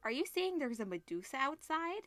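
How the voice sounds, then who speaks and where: A woman's voice: high voice, sing-song, fairly quick, a bit bright, dry recording, pitched above the performer's own voice. A woman voicing an anxious, impulsive student hero in a visual novel. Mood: agitated, urgent. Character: anxious, impulsive student protagonist searching for a friend.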